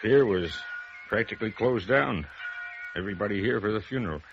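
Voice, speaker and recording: An actor playing a shifty, nervous working man in an old radio noir, talking in a halting, folksy, uneasy way. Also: lowish voice, expressive, music in the background. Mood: subdued, folksy. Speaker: radio drama supporting actor. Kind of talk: 1950s radio noir acting. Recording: dull, noisy vintage radio recording.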